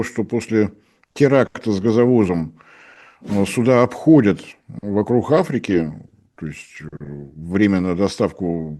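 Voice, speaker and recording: A man's voice: on the low side, warm tone. A middle-aged man giving sarcastic, analytical commentary as an energy analyst guest, with ironic asides and acted-out dialogues. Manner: ironic, dryly mocking, acts out dialogues.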